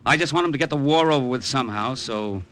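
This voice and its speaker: A man's voice: mid-range voice, fast talker, fairly bright tone, close to an everyday voice. Noir radio lead: actor as cynical, broke American man, punchy edge, hard-boiled delivery. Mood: casual, wry. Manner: wry, slangy, hard-boiled. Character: cynical, broke American gambler abroad, middle-aged. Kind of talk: 1950s radio drama acting and noir narration.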